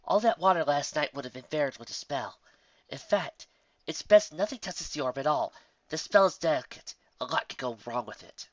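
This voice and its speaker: A man's voice: a little high, animated, moderate pace, no room sound, muffled recording, voice pushed up, natural voice. A man voicing an earnest, cautious female unicorn pony who explains and instructs in a story reading. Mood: explaining.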